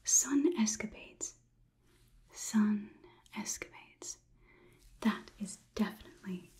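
A young woman plays a robot overlord in an ASMR roleplay, polite and clinically curious about humans. The voice is a soft, dark-toned whisper, close to the mic. Phrases come slowly and deliberately, in formal wording. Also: medium pitch, natural voice. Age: young adult. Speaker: ASMR performer.

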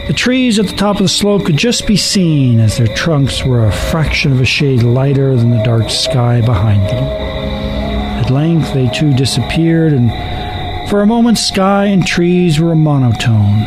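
A man's voice: medium pitch, animated, measured pace, low-quality recording. A man narrating a radio play as scripted, dramatic storytelling. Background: background music.

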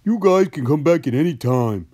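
A man's voice: fairly high pitch, lively intonation, normal speed, dark tone, pitched above the performer's own voice. A man voicing a happy, friendly, jovial giant in a children's storybook. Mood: happy, hearty.

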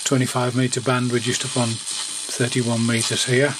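A middle-aged man with a slightly husky voice chats casually and hesitantly as he presents his electronics hobby in an unscripted way. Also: mid-range voice, little pitch movement, normal speed, noisy background. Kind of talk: casual demonstration and chat to camera. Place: small home workshop indoors.

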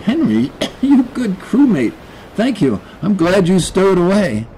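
A man's voice: high voice, wildly swooping pitch, measured pace, warm tone, a little hiss or hum, pitched above the performer's own voice, heavily acted, poor audio. Man playing a hearty, raised-voice sailor dad. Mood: grateful, gasping. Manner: breathy exclamations, muttering and calling out. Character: hearty adult father, a sailor, fond and grateful.

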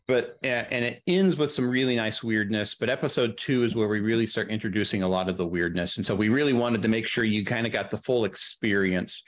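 A middle-aged man chatting casually as an interview guest, explaining production matters at length and in practical detail on a video call. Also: mid-range voice. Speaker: guest, audio drama producer. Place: indoor room, video call.

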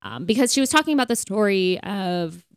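A woman's voice: medium pitch, very animated, brisk, smooth, dry recording. Woman chatting casually, close and dry. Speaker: podcast co-host. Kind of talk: casual faith conversation.

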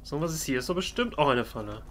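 A man's voice: a little high, expressive, quick pace, a bit bright, slight background noise. A man giving playfully ironic, in-character commentary as a let's play host. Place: voice-over on game footage.

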